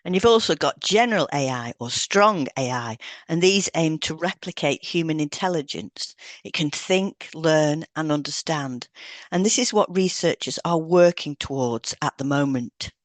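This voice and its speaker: A woman's voice: deep voice, moderate pace, no echo. Woman explaining, close dry sound. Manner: explanatory, instructional. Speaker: podcast co-host, hypnotherapist. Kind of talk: scripted podcast narration with informal asides.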